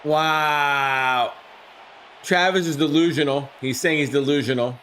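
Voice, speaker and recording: A man with a somewhat high voice, hoarse and worn down by the flu, calls a video game football simulation play by play for his live stream. He shouts when a big play hits and drifts into easy chat with his viewers between snaps. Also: measured pace, slight background noise.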